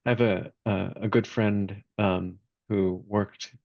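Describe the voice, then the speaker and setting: Gravelly man on a dull call line. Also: fairly low pitch, flat delivery, a little mellow, dry recording. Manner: friendly, halting, repeats words. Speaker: workshop participant. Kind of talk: casual chat on a video call.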